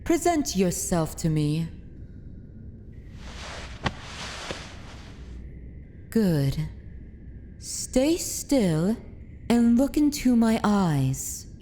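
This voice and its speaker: A woman's voice: mid-range voice, very animated, drawn-out words, warm tone, a little hiss or hum. A woman playing a haughty, dominant vampire noblewoman in an ASMR fantasy roleplay, with commanding, archaic formal speech. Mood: stern, threatening. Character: haughty, dominant aristocratic vampire mistress of a manor.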